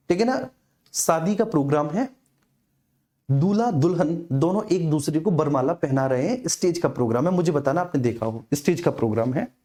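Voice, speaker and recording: A man's voice: a little high, warm tone. A man teaching an exam coaching class informally, explaining repetitively and addressing his students directly.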